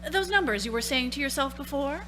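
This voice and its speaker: A woman's voice: sits high, animated, a little fast, pushed and bright, faint music behind. A woman playing a polite, concerned housewife and mother in a radio play, motherly and a little hesitant. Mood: uneasy.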